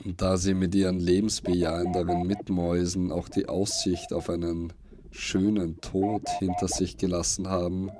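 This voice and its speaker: A man reading scripted narration for an audio play in a deliberate, brooding, dramatic way, with pauses. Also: low voice, level tone, dark tone. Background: music and noise loops under the voice.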